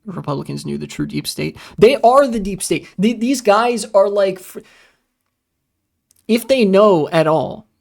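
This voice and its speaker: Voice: mid-range voice, expressive, measured pace, gravelly, darkish tone. A political streamer giving casual commentary in a ranting, profane, sarcastic way. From United States.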